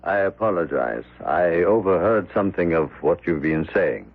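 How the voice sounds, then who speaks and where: Grave, low-voiced, formal manservant. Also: male voice, lively intonation, breathy edge, full character voice. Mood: grave warning. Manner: formal, measured, ominous. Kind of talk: old radio drama acting. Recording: muffled, narrow-band old radio broadcast.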